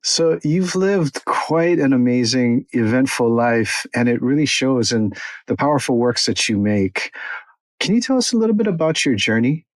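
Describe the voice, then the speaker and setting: A man hosting a podcast, giving a scripted introduction and asking interview questions in a measured, polished way. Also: medium pitch, expressive, no room sound, rough recording. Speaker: podcast host.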